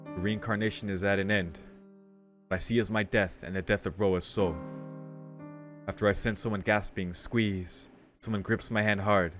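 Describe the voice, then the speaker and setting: A man's voice: lowish voice, steady tone, moderate pace. A man reads visual novel text aloud as a streamer. His delivery is casual and slangy, with offhand reactions, and game music plays behind his voice.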